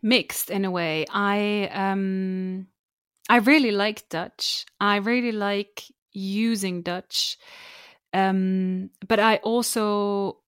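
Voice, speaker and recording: A woman's voice: mid-range voice, fairly even intonation, drawn-out words, fairly bright tone, clean tone, no echo, studio quality. A woman with a German accent, answering interview questions in a thoughtful, hesitant way with frequent restarts. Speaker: guest. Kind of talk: reflective interview conversation.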